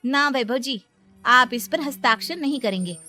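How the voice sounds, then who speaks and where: A woman plays a young nomad bride in a cartoon. Her voice is high and bright. She is sassy and defiant, stretching out her taunts. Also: expressive, normal speed, soft background music. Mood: firm. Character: feisty young nomad bride, daughter-in-law. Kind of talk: Hindi cartoon story acting.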